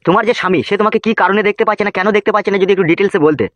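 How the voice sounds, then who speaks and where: A man's voice: high voice, steady tone, racing, fairly bright tone, no echo, dull audio. A man voicing a bossy, crude village politician in a comedy cartoon, with coarse, scolding talk. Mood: bossy, probing.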